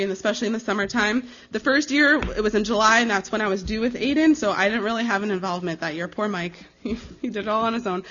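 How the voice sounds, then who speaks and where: A young American woman speaking as a missionary, giving an informal slide presentation in a conversational, earnest and halting way. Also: mid-range voice, fairly bright tone. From United States. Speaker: missionary giving a ministry report. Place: live talk before an audience. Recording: dull, slightly lo-fi recording.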